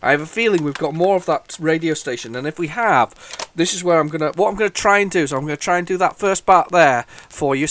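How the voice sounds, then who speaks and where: A man hosting a retro gaming video, talking casually while loading old tapes, with a head cold and a rambling, restart-filled way of talking that jumps into excited bursts. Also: medium pitch. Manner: rambling, chatty, lots of restarts and sudden excited outbursts. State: has a head cold, sniffly.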